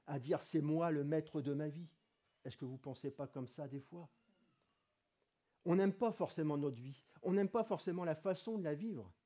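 A middle-aged man preaches in a church hall, his voice a little hoarse from a cold. His voice sits fairly high yet sounds soft and mellow. He talks in a relaxed, conversational way and lifts his voice when he reads scripture. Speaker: pastor. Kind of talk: sermon. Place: church hall with wooden wall, indoors.

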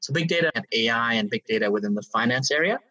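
A man in his thirties from Hong Kong, a guest explaining his consulting work in a measured way with some fillers. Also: medium pitch, expressive, bright, forceful tone, far from the mic, dull audio. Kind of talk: interview answers.

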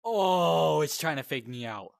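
A man hosting a VR horror let's play, excitable, yelping and shouting when scared. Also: on the high side, measured pace, gravelly, no room sound, studio quality. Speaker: gaming host. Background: game music.

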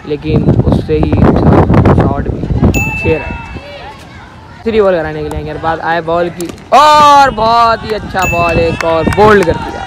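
A man's voice: on the high side, low-quality recording. A man giving ball-by-ball commentary on an amateur street cricket match, calling each delivery in a repetitive set pattern, recorded outdoors on a phone.

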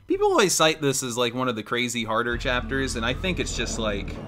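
A man's voice: mid-range voice, very animated, brisk, a bit bright. A man in his twenties chatting casually as a game streamer, with slangy, offhand commentary. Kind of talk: casual gaming banter and commentary. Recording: game music and engine noise behind. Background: video game music and racing engines.

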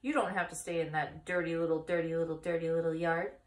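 A woman voicing a bossy, excitable female goose from a children's storybook, chattering and repeating her phrases insistently. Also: low-pitched, fast talker, reverberant, natural voice.

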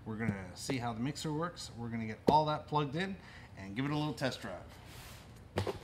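A middle-aged man with an average-pitched voice presents audio gear in a casual unboxing style. He explains things plainly while handling the equipment. The recording is clearly noisy, picked up a few feet from the camera inside a store. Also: expressive, normal speed. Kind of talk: casual unboxing and product demonstration. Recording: noisy room recording with clicks.

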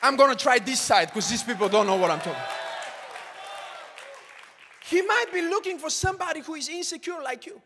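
A young man preaching a sermon to a congregation with a handheld mic, swinging from shouted calls to hushed asides. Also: very high-pitched, expressive, brisk, pauses often, a crowd in the background, noisy background. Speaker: pastor. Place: large church auditorium with congregation. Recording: long hall reverb from the sanctuary.

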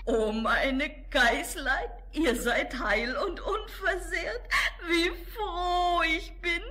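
A woman's voice: extremely high pitch, very slow, pushed and bright, exaggerated voice. A woman playing an anxious, tender mother goat in a children's fairy-tale radio play, emotional and dramatic. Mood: relieved, joyful. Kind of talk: 1960s German children's radio play acting.

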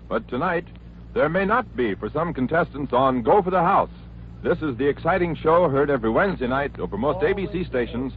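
Male American 1940s radio announcer, lively intonation, scripted promo read, tinny old broadcast. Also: a little high, slight background noise.

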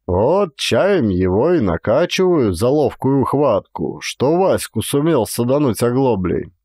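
A man voicing a sedate, dignified and mighty peasant in an audiobook, with a drawn-out, staid delivery. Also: medium pitch, big pitch swings, warm tone, no echo, clean studio sound. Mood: unhurried, staid.

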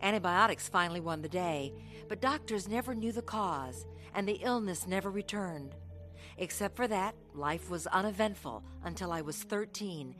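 A woman playing a grown woman looking back on her youth, in measured, scripted radio drama narration. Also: on the low side, expressive, a bit bright, soft background music. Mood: reflective. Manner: measured, reflective.

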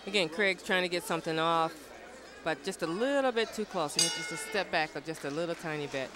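A man's voice: a little high, fairly quick, background noise. A man giving live boxing commentary at ringside in an indoor arena. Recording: loud arena crowd behind voice.

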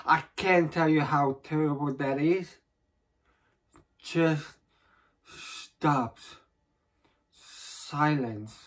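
Man acting an anxious, halting dreamer, very slow. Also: fairly high pitch, a bit bright, full character voice. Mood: dreading. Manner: halting, short fragmented phrases. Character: anxious, self-conscious dreamer dreading their turn before staring eyes. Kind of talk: dramatic stage monologue.

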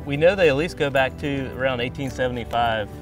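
A young man answers questions about old seeds in a soft, matter-of-fact way, keeping his replies short. His voice is mid-pitched, and music plays clearly beneath it. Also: a little fast. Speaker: garden and seed program guide. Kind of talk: casual interview answers. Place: outdoors in a garden. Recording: music bed underneath.

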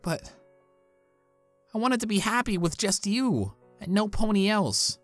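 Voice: mid-range voice, sing-song, unhurried, soft background music. A performer playing an awkward, lovestruck young male cartoon pony, hesitant and earnest. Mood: flustered, earnest.

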